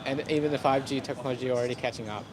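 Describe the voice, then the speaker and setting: A man, mid-pitched, chats earnestly on a busy outdoor street while a crowd chatters around him. He talks haltingly and repeats words as he goes. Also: fairly even intonation, poor audio. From China, Shanghai. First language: Mandarin. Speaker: vlogger. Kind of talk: casual street conversation. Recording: outdoor crowd and traffic noise.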